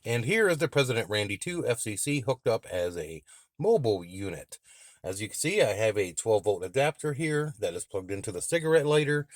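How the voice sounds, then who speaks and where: A man's voice: lowish voice, no room sound, clean studio sound. A man reviewing and testing a radio in a plain-spoken, explanatory way, as a hands-on demonstration.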